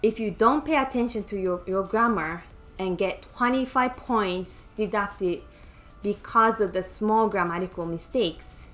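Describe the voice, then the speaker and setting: A woman's voice: mid-range voice, a little slow, fairly bright tone, soft background music. Japanese-accented woman giving earnest advice. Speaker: nurse practitioner mentor. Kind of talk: scripted educational talk.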